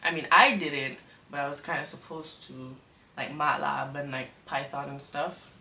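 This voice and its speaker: A woman's voice: low voice, steady tone, moderate pace, recorded from a distance. A young woman from the Cayman Islands, hosting a casual chat and asking a friend questions in a giggly, upbeat way. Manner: bright, giggly, interviewing. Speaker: YouTube host. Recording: echoey room, off-mic, faint room noise.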